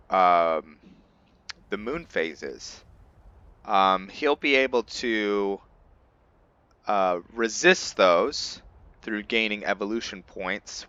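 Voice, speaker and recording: A man narrates his video game playthrough in a casual, thinking-aloud way. His voice is on the low side with a forceful, bright edge, and he speaks slowly with halting pauses. The recording sounds a little muffled and lo-fi. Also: clear, resonant tone. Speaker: gaming channel host narrating a playthrough. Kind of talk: casual let's play commentary of a modded fantasy RPG.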